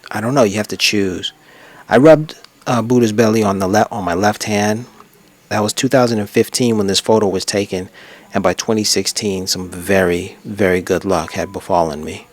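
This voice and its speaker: A man's voice: on the low side, moderate pace. A man narrating his own travel photos in a casual, unscripted way, rambling and reflective as he reminisces. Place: untreated room at a desk.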